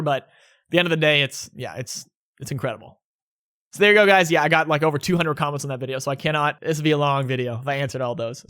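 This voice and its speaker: Bright, rambling young man vlogging. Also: a little high. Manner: rambling, self-correcting, laid-back. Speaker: YouTuber answering viewer questions. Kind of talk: casual vlog Q&A. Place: indoors at home.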